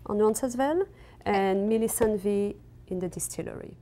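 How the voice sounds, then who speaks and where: A woman's voice: medium pitch, normal speed, warm tone. A woman with a French accent, raised in West Africa, answering interview questions hesitantly with many fillers. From raised in Senegal and Cameroon. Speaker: textile artist guest. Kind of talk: casual interview. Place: her studio.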